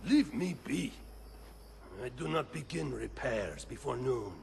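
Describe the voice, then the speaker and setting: A man playing a proud, lazy, irritable Italian cannon maker in a video game, with a gravelly voice and an Italian accent. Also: mid-range voice, lively intonation, a little slow, slight background noise. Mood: grouchy. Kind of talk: video game cutscene acting.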